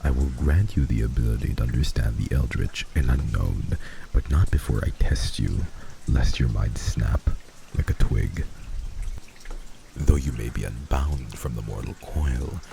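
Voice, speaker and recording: A man's voice: rumbling low, measured pace, vocal fry, noisy background, heavily acted. A man playing a heartless vampire lord and crime boss in a fantasy audio roleplay, speaking in a pompous, archaic, menacing way. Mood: cold, commanding.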